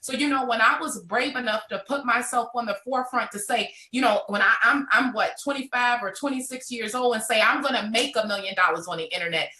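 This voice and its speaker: This is a woman around thirty telling her entrepreneur story with animated, motivational drive. Her voice sits a little high and rings bright and forceful. The sound carries a roomy echo. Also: fairly quick, hardly any pauses. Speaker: network marketing entrepreneur. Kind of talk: motivational live conversation.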